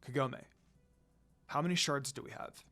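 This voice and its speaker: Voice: mid-range voice, normal speed, bright, forceful tone, soft background music. A storyteller voicing a cold, proud male demon lord in a fan fiction reading, murmuring and stern. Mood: calm, reserved.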